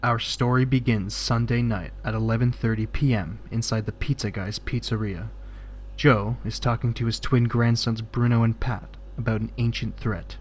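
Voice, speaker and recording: A man's voice: medium pitch, level tone, normal speed, slight background noise. A man narrating a scripted audio drama, setting each scene in the present tense.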